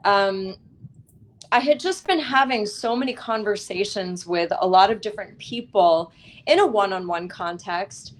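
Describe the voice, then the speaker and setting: A woman's voice: medium pitch, a little slow. Articulate woman telling her story as interview guest. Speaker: author, wellness teacher, interview guest.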